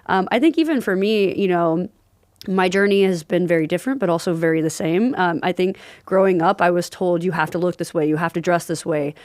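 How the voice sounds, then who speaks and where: A woman hosting a podcast, chatting casually, filling pauses with "um" and laughing easily. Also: fairly low pitch, a little mellow, no room sound, clean studio sound. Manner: chatty, fills with "um" and "I'm like". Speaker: podcast host. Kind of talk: casual interview chat.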